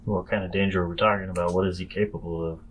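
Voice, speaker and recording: A man's voice: deep voice, fairly even intonation, rapid-fire, slight background noise. A man playing a tabletop roleplaying game, talking in a conversational in-character way.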